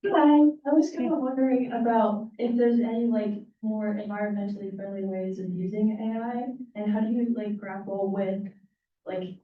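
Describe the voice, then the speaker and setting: A woman's voice: medium pitch, fairly even intonation, normal speed. A woman in the audience asking a question tentatively, leaning on the filler "like". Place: lecture hall audience. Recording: tinny, distant, echoey hall pickup.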